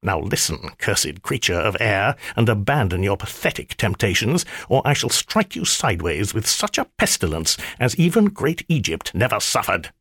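A man's voice: lowish voice, very animated, moderate pace, hardly any pauses, fairly bright tone, slightly rough, dry recording, studio quality, heavily acted. A man voicing an irritable old male magician, stern and sardonic, in a clipped, scolding manner for an audiobook. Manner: clipped, scolding, dryly sarcastic. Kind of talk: audiobook character voice.

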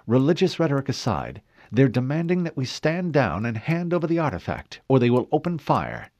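A man voicing a brisk, precise female ship AI giving reports. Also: medium pitch, big pitch swings, a little mellow, no room sound, voice pushed up, natural voice.

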